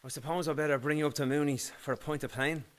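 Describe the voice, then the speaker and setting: A man's voice: fairly high pitch, steady tone, fast talker, close to an everyday voice. A man with an Irish accent playing a friendly grown son, chatty and encouraging, in a radio play.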